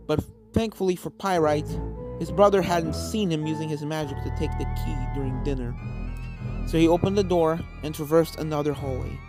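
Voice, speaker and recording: A man reading a scripted fan fiction story aloud as its narrator. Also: on the high side. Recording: game music under the voice. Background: video game soundtrack music.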